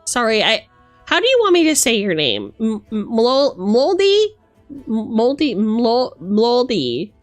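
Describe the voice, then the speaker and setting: A woman with a high, very expressive voice reacts casually as a livestream host. She takes her time, leaving space between short remarks, and slips between theatrical gushing and dry asides. The sound is close and dry. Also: takes it slowly, dry recording, faint music behind. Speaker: streamer hosting a live book look-through.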